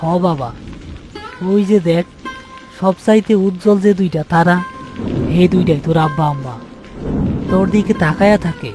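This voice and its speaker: A man's voice: high-pitched, measured pace, long pauses, music in the background. A man voicing an anxious, protective young uncle in a cartoon, speaking colloquially with a Bangladeshi accent. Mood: tender concern. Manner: tender, worried, colloquial. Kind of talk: horror cartoon character acting.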